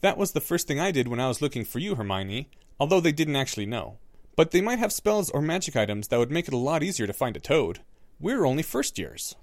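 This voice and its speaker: A sharp, theatrical young boy wizard in a storybook reading, explaining things with confident, dramatic flair, as a male narrator puts him on. His voice is raised a bit and swings lively in pitch. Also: a little fast, dry recording. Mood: reasoning.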